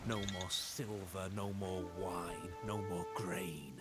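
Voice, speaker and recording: An actor playing a ruthless, arrogant Spartan commander in a video game, menacing, moving between hissed whispers and roars. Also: male voice, sits low, steady tone, takes it slowly. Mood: hissed menace. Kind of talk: dramatic video game acting. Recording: loud music behind the voice.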